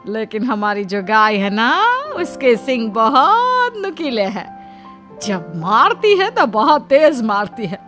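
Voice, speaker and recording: A woman's voice: a little high, sing-song, slow pace, a bit bright, faint music behind, full character voice. A woman playing an exasperated, scheming middle-aged mother-in-law in a Hindi cartoon story, with a dramatic, complaining delivery. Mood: wry.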